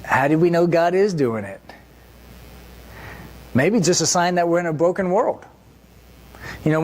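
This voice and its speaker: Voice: medium pitch, creaky. A preacher giving a church sermon in a conversational way, often asking the listeners rhetorical questions.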